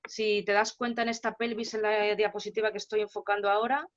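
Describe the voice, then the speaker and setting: A woman's voice: mid-range voice, little pitch movement, fast talker, a little hiss or hum. Woman, Spanish accent, guest lecturer, assured explanations, muffled lo-fi video call. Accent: Spain Spanish. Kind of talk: online seminar Q&A. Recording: dull lo-fi call audio.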